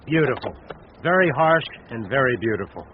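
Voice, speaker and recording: An actor playing a courteous, well-spoken and inquisitive city visitor in a western radio drama. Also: male voice, medium pitch, sing-song, normal speed, close to an everyday voice. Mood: courteous, appreciative. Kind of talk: naturalistic radio drama acting. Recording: tinny narrow-band radio sound, background noise.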